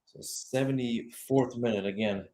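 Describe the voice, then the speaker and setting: A young adult man delivers live soccer play-by-play in the style of a radio broadcast. His voice sits at a middling pitch, and he shifts between following the action and talking it over conversationally. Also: unhurried, a little mellow, rough recording. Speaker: play-by-play commentator.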